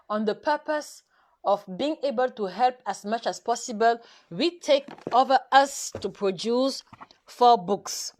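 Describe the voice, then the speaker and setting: A woman whose first language is French, hosting a live nutrition session in careful, halting English full of restarts, coaxing her viewers directly. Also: fairly high pitch. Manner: halting, self-correcting English with many restarts and fillers. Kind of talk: live stream chat to viewers.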